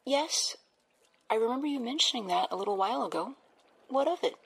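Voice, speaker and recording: A woman acting an attentive wife in an old-time radio drama, with a husky, breathy voice. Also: fairly high pitch, expressive, a little fast, fairly bright tone, heavily acted. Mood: curious.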